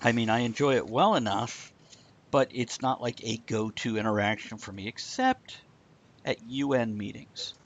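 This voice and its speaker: A man's voice: medium pitch, moderate pace, lo-fi sound. A man co-hosting a live stream show, chatting casually with sarcastic, rambling jokes. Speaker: podcast co-host. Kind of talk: casual chat.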